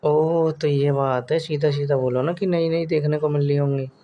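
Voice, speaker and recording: A man's voice: fairly high pitch, fairly even intonation, moderate pace, slight background noise. A young man chatting casually and teasingly on a recorded phone call. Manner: teasing, goading. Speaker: caller chatting with partner.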